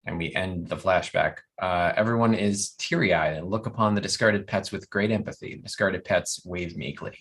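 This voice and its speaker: A man's voice: deep voice, normal speed, hardly any pauses, fairly bright tone. A man reading scripted stage directions as narrator at a comedy table read.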